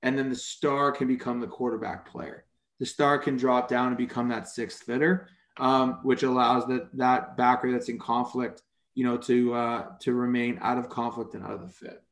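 A man's voice: medium pitch, little pitch movement, moderate pace. Man explaining defensive football plays, echoey. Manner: casual and explanatory, frequent fillers. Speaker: football coaching clinic presenter. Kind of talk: screen-share coaching clinic on a video call. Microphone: video call microphone.

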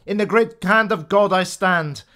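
A dutiful, watchful general in a classical radio drama, acted by a man. The voice is a little high for a man and delivers Shakespearean verse. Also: measured pace, sharp tone. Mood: solemn, dutiful. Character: wary, dutiful noble general, quietly suspicious.

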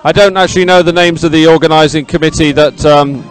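A man's voice: fairly high pitch, fairly even intonation, fast, faint music behind. A man giving live, ad-libbed sports commentary in a chatty, rambling, enthusiastic way.

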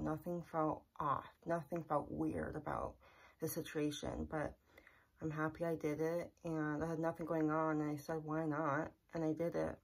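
Low-voiced young woman vlogging earnestly. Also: vocal fry, slightly rough, poor audio. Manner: earnest, repetitive, plain-spoken. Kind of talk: casual personal vlog to camera. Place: small bedroom, indoors. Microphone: camera in front at close range.

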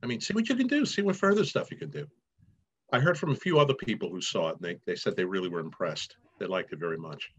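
Husky middle-aged man, muffled call. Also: deep voice, animated, fast talker. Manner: encouraging, joking. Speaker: faculty advisor. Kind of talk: casual chat. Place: indoors on a video call. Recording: muffled video call audio.

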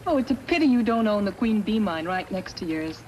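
A woman's voice: mid-range voice, animated, a little fast, a little mellow, natural voice, rough recording. A young woman playing an eager newspaper reporter in a 1950s radio western, earnest and chatty. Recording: old radio recording, faint noise.

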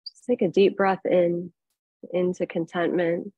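A woman leads a guided relaxation as a meditation teacher. Her voice sits somewhat low, and she speaks in an unhurried, soothing way, leaving long pauses between phrases. The sound is very dry, free of room reflections. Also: level tone, dark tone, breathy edge, dry recording, lo-fi sound. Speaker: yoga nidra meditation teacher.

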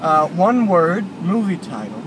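A teenage boy mock-hosting a car ride video, giving a joking, deadpan running commentary on the scenery from inside a moving car, recorded on a phone camera. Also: on the high side, noisy background. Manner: playful, mock-announcer, drawn-out deadpan listing. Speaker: self-styled host of a car-ride video. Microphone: phone camera held in the car. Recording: loud pop music playing in the car.

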